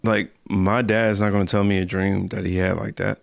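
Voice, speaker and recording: An American man co-hosts a podcast in loose, colloquial conversation. His voice is fairly low with plenty of vocal fry, and he repeats phrases for emphasis. It is recorded dry and close. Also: little pitch movement, normal speed, dry recording. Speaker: podcast host. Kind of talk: casual podcast chat.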